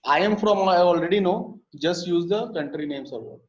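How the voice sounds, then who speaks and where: A man's voice: high-pitched, moderate pace, a little hiss or hum. A man teaching a live programming class, explaining step by step with frequent fillers and repeated words. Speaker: programming instructor. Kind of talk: live online coding lesson. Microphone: computer mic in a live class. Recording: dull lo-fi live class audio.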